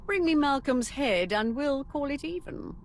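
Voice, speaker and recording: A woman's voice: high voice, expressive, measured pace, noisy background. A woman playing a proud, bloodthirsty Imperial officer in a video game, with a martial, self-assured delivery. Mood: proud, welcoming. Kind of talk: dramatic video game acting.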